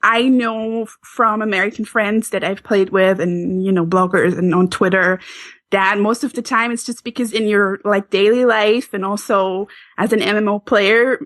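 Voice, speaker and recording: A woman's voice: mid-range voice, steady tone, normal speed, a bit bright, no echo. A Swiss woman with a Swiss German accent, chatting casually as a podcast guest, laughing often and restarting sentences. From Switzerland.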